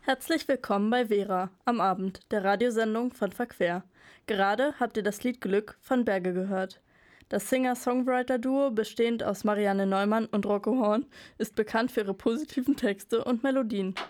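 A woman's voice: medium pitch, moderate pace, darkish tone. Woman reading radio announcements. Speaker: radio presenter. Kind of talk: scripted radio presenting.

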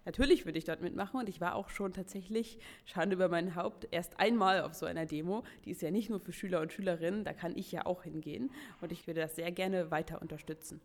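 A woman with a somewhat low voice is being interviewed. She answers spontaneously, forming her opinions as she speaks. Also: fast talker, hardly any pauses.

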